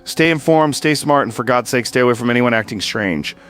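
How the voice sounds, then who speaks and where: Male actor as radio host, quick delivery, lively swooping pitch, urgent, folksy, audio drama. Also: soft background music, natural voice. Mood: alarmed, urgent. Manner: urgent, colloquial.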